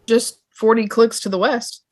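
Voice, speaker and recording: A woman playing a brisk, no-nonsense pilot in an amateur drama table read, matter-of-fact and dismissive. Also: fairly high pitch, fairly even intonation. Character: brisk, no-nonsense vehicle pilot keeping order. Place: online video call table read.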